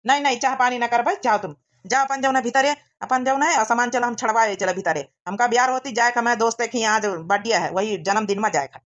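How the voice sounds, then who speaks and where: A woman voicing a hurried young village man, a visiting brother, with an Awadhi accent in a cartoon comedy. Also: on the high side, close to an everyday voice. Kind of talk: rural cartoon comedy dialogue. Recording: dull, lo-fi sound.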